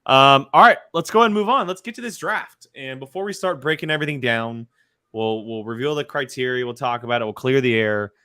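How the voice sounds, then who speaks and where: A man's voice: mid-range voice, fairly quick, pushed and bright, clear, resonant tone, no room sound, clean studio sound. A man casually moderating a live movie talk show as its host.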